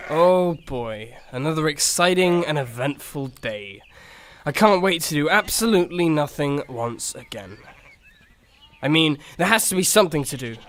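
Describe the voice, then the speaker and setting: A man acts out a mopey horse in an audio story. The voice sits a little high and rambles with anxious, dry sarcasm. Faint noise lies behind it. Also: fairly bright tone. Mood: bored, sarcastic. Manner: sighing, rambling self-talk, sarcastic. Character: mopey young male horse, dreaming musician, anxious. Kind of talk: cartoon-like audio story acting.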